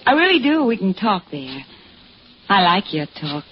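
Woman acting a sly, lively barroom flirt. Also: medium pitch, moderate pace, close to an everyday voice. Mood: cool, sly. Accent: American. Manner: teasing, sly, slangy. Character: flirtatious, worldly barroom woman, coolly after money. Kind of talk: 1940s-50s American radio drama acting. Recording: muffled, hissy old radio recording.

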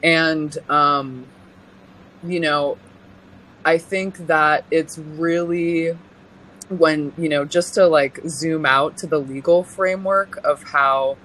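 A young woman from California with a deep voice, giving personal testimony as an interview guest in an earnest, halting way, full of pauses and restarts. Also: steady tone, fairly bright tone, slight background noise, rough recording.

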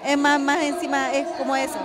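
A woman's voice: sits high, monotone, a little fast. A woman giving casual interview answers as a tournament participant. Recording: crowd chatter behind.

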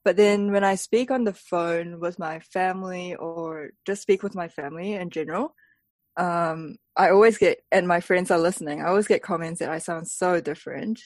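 Lower-voiced woman, light Malaysian accent. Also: moderate pace, darkish tone, no echo. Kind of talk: casual chat.

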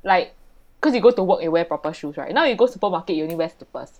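A woman's voice: fairly low pitch, expressive, quick pace, a little mellow. A woman, co-hosting a podcast in playful banter. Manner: playful, swears while laughing. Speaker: podcast co-host. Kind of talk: casual banter.

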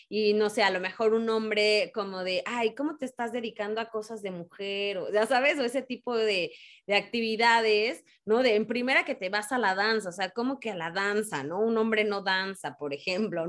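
A woman interviewing a guest, asking playful, probing questions. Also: medium pitch. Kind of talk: conversational interview.

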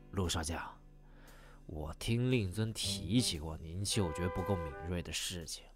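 A man's voice: low voice, takes it slowly. A man playing a polite, fussy scientist who explains things formally and with awkward tact in an audio drama. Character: polite, fussy male scientist acting as matchmaking consultant. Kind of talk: Chinese audio drama acting. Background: music.

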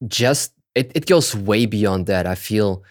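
A man's voice: on the low side, animated, slow, no echo, studio quality. A man in his twenties, a Russian native speaker from Kazakhstan, talking casually as a guest and often repeating words while he searches for them. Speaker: music producer guest. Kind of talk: casual conversation.

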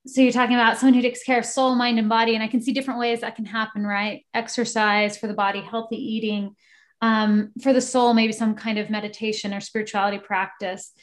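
A woman working as a relationship therapist, asking gentle, probing questions and murmuring agreement. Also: on the high side, little pitch movement, a little fast. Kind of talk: guided therapy conversation.

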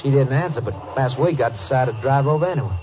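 An actor playing a wary, defiant young cowhand on parole in a 1950s radio drama, guarded and clipped. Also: male voice, mid-range voice, animated, fast, close to an everyday voice. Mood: guarded, uneasy. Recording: muffled, slightly noisy old radio recording.

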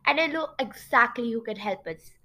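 A woman voicing a confident, curious female storybook sleuth in a congested, nasal voice, with a brisk, teasing manner. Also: a little high, lively intonation, sharp tone. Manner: brisk, self-assured, teasing.

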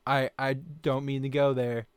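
A man's voice: medium pitch, flat delivery, a little slow, clean tone, no echo. A young man co-hosting a casual podcast chat, often restarting his sentences. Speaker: podcast co-host.

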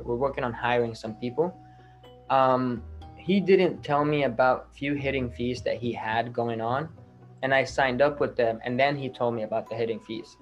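A man giving a reassuring, persuasive sales pitch as a marketing agency salesman on a recorded call. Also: lo-fi sound. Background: music.

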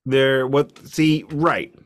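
Wry man in casual music talk, medium pitch. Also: flat delivery, extremely slow. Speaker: album review podcast co-host.

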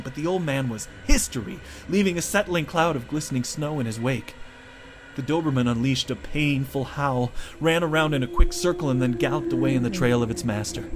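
A man's voice: on the high side, slight background noise. A man reading his own first-person short story as dramatic scripted narration.

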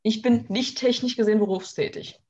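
A teenage woman talking from her apartment in a casual chat, with dry, deadpan humor. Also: a little high, fairly quick, dull audio. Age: teens.

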